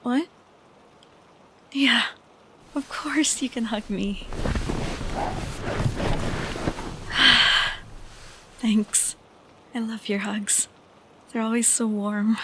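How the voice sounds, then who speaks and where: High-pitched young woman, slow hesitant delivery, soft, affectionate troubled best friend, acted by a woman, intimate audio roleplay, faint rain behind. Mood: affectionate, wistful. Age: young adult. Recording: steady background noise of rain. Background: rain sounds.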